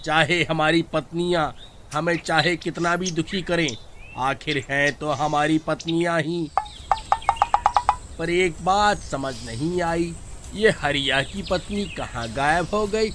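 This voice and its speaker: An actor playing a devoted, henpecked village husband, earnest and emphatic, in an exaggerated cartoon story. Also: male voice, fairly high pitch, takes it slowly, noisy background. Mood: reflective.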